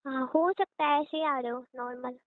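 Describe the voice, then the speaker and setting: A woman acts as a playful young wife in a homely audio drama. Her voice is high and she talks informally, teasing with affection and a stubborn streak. It comes through a thin phone-like line with a roomy echo. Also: fairly even intonation, normal speed, close to an everyday voice. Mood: mild, reassuring. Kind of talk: casual domestic audio roleplay.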